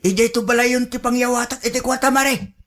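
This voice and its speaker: A man's voice: extremely high pitch, fairly even intonation, brisk, a bit bright. Man acting middle aged ilocano neighbor guy in a radio drama, wary, questioning, matter of fact. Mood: wary, practical. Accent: Filipino, Ilocano.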